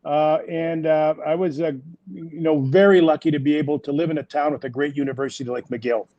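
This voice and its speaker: A man's voice: a little high, fairly even intonation, a little fast, warm tone, no echo, dull audio. A French Canadian man in his sixties, a native French speaker, speaking English in an interview with many fillers and restarts. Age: 60s. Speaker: guest executive. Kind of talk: interview conversation.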